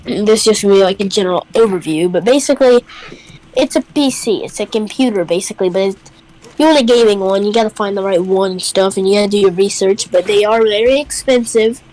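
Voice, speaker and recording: A woman's voice: medium pitch, fast talker, a little mellow, rough recording. Congested woman rambling through a chat. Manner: rambling, hesitant, full of fillers. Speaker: host. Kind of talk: casual chat.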